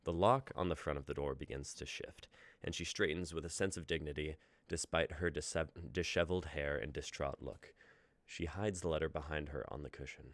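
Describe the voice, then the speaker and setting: A man's voice: extremely low pitch, fairly quick, fairly bright tone, dry recording. A man reading out the stage directions of a script he wrote.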